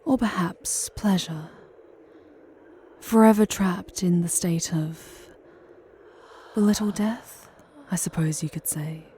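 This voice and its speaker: A seductive demon temptress, acted by a woman in an ASMR roleplay, speaking in a low, mellow voice. Her delivery is very slow, theatrical and breathy, often slipping into a whisper close to the microphone. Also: slight background noise. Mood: sultry, musing. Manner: theatrical, formal, drawn-out phrasing. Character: charming, seductive female demon guide through hell. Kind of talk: intimate ASMR roleplay, scripted monologue.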